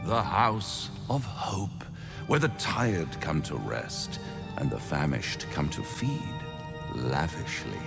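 Very deep, silky devil. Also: male voice, big pitch swings, gravelly, over music, exaggerated voice. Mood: theatrical, menacing. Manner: grandiloquent, silky, drawn-out delivery. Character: suave, theatrical devil, mocking and menacing. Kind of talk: video game dramatic acting.

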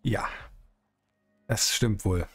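A man's voice: fairly low pitch, extremely slow, slightly rough, soft background music. A middle-aged man streaming an online roleplay game, chatting casually in character. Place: indoor streaming room, music behind.

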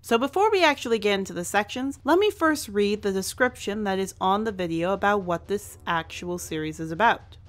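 A woman's voice: mid-range voice, lively intonation, fairly quick, few pauses. A woman hosting a review in semi-scripted commentary, speaking in an enthusiastic, emphatic way. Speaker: host and reviewer.

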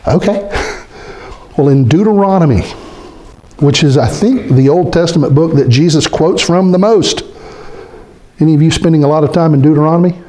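A middle-aged man preaching a sermon as pastor, animated and questioning his congregation, dropping low when he quotes scripture figures. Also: normal speed, long pauses, slight background noise. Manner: animated, gestures a lot, asks the congregation questions. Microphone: stand mic in front of speaker.

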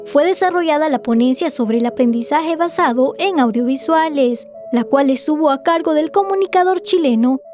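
A female reporter reads a formal news voice-over in an even newsreading manner. Her high voice has a mellow tone, with faint music underneath. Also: moderate pace, hardly any pauses.

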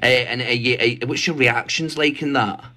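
A man in his thirties speaks at a middling pitch, rambling and hesitating as he puts on an official air for a prank call. He is talking into a phone in a small bedroom. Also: fairly even intonation, normal speed, pushed and bright. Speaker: prank caller. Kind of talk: prank phone call posing as army recruiter. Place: small bright bedroom indoors.

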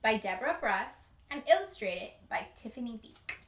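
A young woman reading a picture book aloud as a teacher to children, with deliberate, emphatic storytelling. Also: fairly high pitch, lively intonation, fairly bright tone, a little hiss or hum. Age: young adult. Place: indoor room with bookshelves. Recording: roomy indoor echo.

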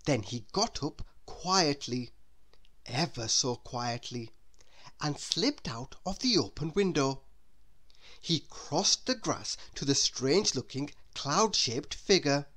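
A woman reading a children's story aloud in a deliberate, expressive way, as if to a young listener. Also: low voice. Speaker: storybook reader. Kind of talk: scripted children's story narration.

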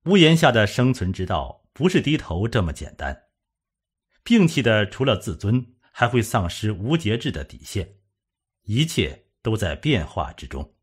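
A man reads a suspense novel aloud as a dramatic narrator. His voice sits fairly low and has a rough, husky grain. The delivery is solemn, with extremely animated rises and falls in pitch. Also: unhurried. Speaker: audiobook narrator.

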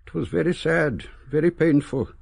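An actor playing a sentimental, eloquent old English gentleman in a radio drama, reminiscing with wistful, theatrical, deliberate phrasing. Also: on the high side, expressive, creaky, breathy edge, no room sound, heavily acted. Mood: regretful. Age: older.

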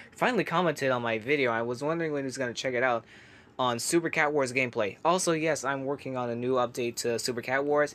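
A young man reacting to viewer comments in casual chat from his laundry room, keeping quiet so his family can sleep, with many fillers and restarts. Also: mid-range voice. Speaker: YouTuber reading comments.

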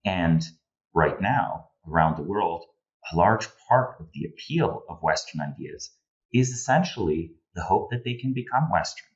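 Male interview guest, thirties, Slovenian accent, deep register, explanatory and discursive, recorded a bit lo-fi over video call. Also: animated, moderate pace. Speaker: guest political analyst. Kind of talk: conversational analysis in an interview. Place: home room with bookshelves, indoors. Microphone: earphone headset on video call. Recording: slightly dull video-call audio.

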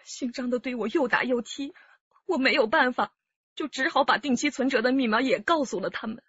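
一位女演员在广播剧中扮演精明的年轻上海妻子，也是劫案受害者，声音带气声。. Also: female voice, high-pitched, a little fast, fairly bright tone, breathy edge, lo-fi sound. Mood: shaken. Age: young adult. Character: shrewd young Shanghai wife, robbery victim. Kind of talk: radio drama acting.

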